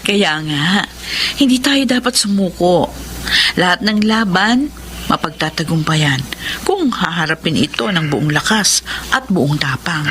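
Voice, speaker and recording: An actress playing a steadfast, loving heroine in a Filipino romantic radio drama, earnest and sentimental, with a Filipino accent. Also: female voice, on the low side, lively intonation, a little slow, fairly bright tone, a little hiss or hum. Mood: resolute.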